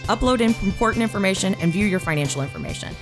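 A woman's voice: medium pitch, lively intonation, rapid-fire. A woman reading scripted promotional narration as an advertisement announcer. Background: guitar music.

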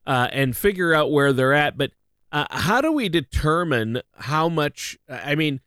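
A man's voice: on the high side, expressive, a little slow, a bit bright, clear, resonant tone, no echo, clean studio sound. A man hosting a financial radio show in a conversational style.

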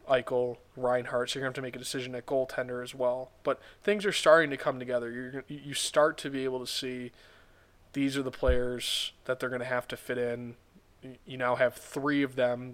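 Podcast host, male, hoarse and stuffed up, level monotone delivery, methodical talk. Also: mid-range voice. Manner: analytical, measured, restarts words often. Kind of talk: solo sports podcast commentary.

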